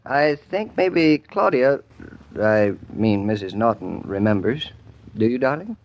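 A man's voice: mid-range voice, lively intonation, a little slow. An actor playing a genial husband in his forties in a 1950s radio comedy, with a hesitant, drily amused delivery. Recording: dull old radio broadcast recording.

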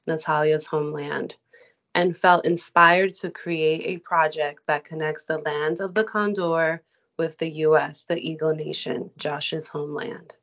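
A woman podcast host reading a guest introduction aloud in a measured way. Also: deep voice, lo-fi sound.

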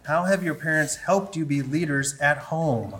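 A woman's voice: low-pitched, measured pace, fairly bright tone. A woman interviewing young students, encouraging and affirming as she moves from question to question. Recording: echoey room with music behind.

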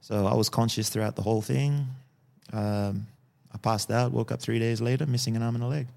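A man in his early forties from Fiji, calmly telling his life story as a podcast guest and often ending sentences with "yeah?". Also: mid-range voice, brisk, creaky. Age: early 40s. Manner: calm, tags sentences with "yeah?" and "right?". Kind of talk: personal storytelling in a podcast interview.

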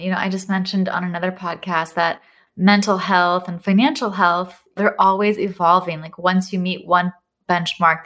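A woman's voice: lowish voice, a bit bright. A woman hosting a podcast interview, introducing her guest and responding with affirming, enthusiastic remarks. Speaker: podcast host. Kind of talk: conversational interview.

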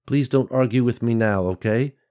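A man's voice: medium pitch, moderate pace, warm tone, natural voice. A man voicing a fierce, protective mother in an audiobook, speaking sharply and threateningly with a raised voice. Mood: firm. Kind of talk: audiobook character voice.

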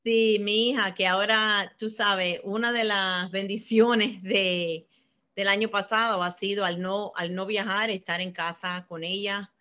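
A woman's voice: medium pitch, fairly even intonation, unhurried, bright, forceful tone. A middle-aged woman, answering interview questions in an earnest, reflective way and often repeating words as she searches for the next one. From born in Cuba, raised in Miami. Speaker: interview guest, finance professional.

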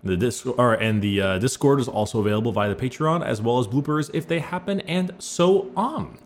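A man's voice: medium pitch, brisk, slight background noise. A man hosting a podcast, opening the show and chatting casually with crude jokes. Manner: crude, joking. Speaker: podcast host. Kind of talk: casual chat.

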